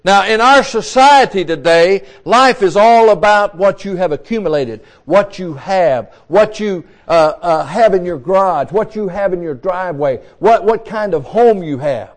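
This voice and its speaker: High-voiced older man preaching a sermon. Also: expressive, unhurried, few pauses. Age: 60s. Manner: repeats words, restarts, folksy asides. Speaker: pastor.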